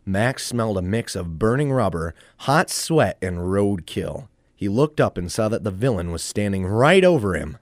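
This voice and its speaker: A man's voice: fairly low pitch, lively intonation, moderate pace, hardly any pauses, no echo, clean studio sound, close to an everyday voice. A man narrating a scripted adventure story in a theatrical storytelling manner.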